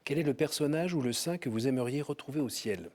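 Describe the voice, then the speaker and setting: A man hosts an interview in a TV studio. He keeps his questions short and calm. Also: medium pitch, quick pace. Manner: calm, brief prompts. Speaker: host. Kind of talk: TV interview hosting. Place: TV studio set.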